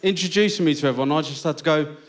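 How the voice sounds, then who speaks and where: A man's voice: on the high side, rapid-fire. A man with a British accent telling anecdotes in a live stand-up set. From Britain. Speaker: stand-up comedian. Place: on stage in a radio theatre. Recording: noisy live theatre recording.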